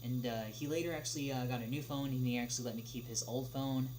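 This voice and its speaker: A young man answering questions in a casual livestream chat, speaking haltingly with many drawn-out filler pauses. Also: medium pitch, fairly even intonation, darkish tone. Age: young adult. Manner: halting, hesitant delivery with frequent long filled pauses. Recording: clearly noisy, humming background.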